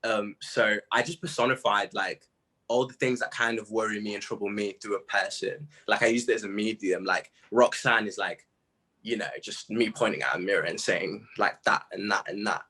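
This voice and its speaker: A man's voice: fairly low pitch, fairly even intonation, moderate pace, pushed and bright, breathy edge. A British man in his late teens speaking as a musician in a casual interview, candid and self-reflective, with plenty of slang.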